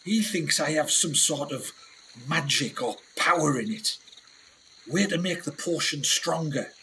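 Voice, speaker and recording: An actor playing a rough, indignant British scientist on a 1920s jungle expedition, speaking in emphatic slang for a radio play. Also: male voice, fairly high pitch, lively intonation, measured pace, pushed and bright, slight background noise, full character voice, rough recording. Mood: grave, secretive. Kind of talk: dramatic 1920s adventure radio acting.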